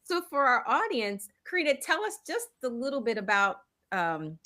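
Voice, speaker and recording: A middle-aged woman hosts a relaxed chat with a playful, teasing manner and quick laughs. Her voice is bright and edged, and her pitch leaps around with plenty of life. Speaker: host. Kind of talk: casual chat.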